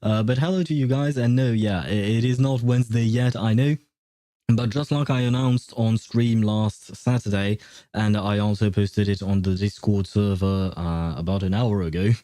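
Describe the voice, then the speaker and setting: A man's voice: lowish voice, steady tone, moderate pace, dark tone, dry recording. A man hosting a livestream, chatting casually with viewers in a hesitant, repetitive way. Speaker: livestream host.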